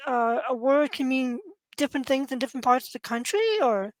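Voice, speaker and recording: A woman interviewer asking questions in relaxed chat, reacting eagerly to her guest. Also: sits high, a little fast, no echo. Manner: eager, responsive, lots of short reactions.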